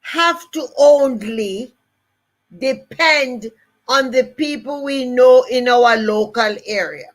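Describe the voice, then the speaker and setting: A woman's voice: sits high, slow pace. An older woman with a Jamaican accent giving a motivational live-stream talk, emphatic and repeating her key phrases. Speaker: motivational speaker.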